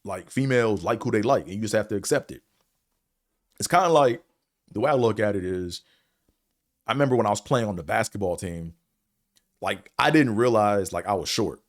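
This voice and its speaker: Deep-voiced blunt man ranting casually. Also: lively intonation, fairly quick, long pauses. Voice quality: stutter. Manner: blunt, profane, rambling, fillers like "man" and "you know". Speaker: show host reviewing a series. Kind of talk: casual opinion monologue.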